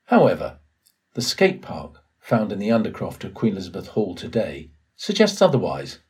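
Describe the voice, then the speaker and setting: A man reading a written essay aloud as scripted narration, in a formal, measured way. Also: lowish voice, darkish tone, breathy edge. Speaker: volunteer reader of an audio blog post.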